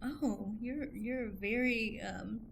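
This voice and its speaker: A woman playing a guarded, puzzled woman in an improvised comedic radio play, with a hesitant, halting delivery. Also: on the high side, vocal fry. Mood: surprised, awkward. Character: guarded, puzzled young woman Jenny with a laptop. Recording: faint noise with keyboard clicks.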